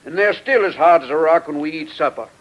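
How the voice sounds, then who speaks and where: Folksy, fairly high-voiced police chief. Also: male voice, lively intonation, fast talker, rough recording. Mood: plain, puzzled. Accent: rural American. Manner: homespun, rustic phrasing. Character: folksy, plain-spoken small-town police chief. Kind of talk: old-time radio drama acting.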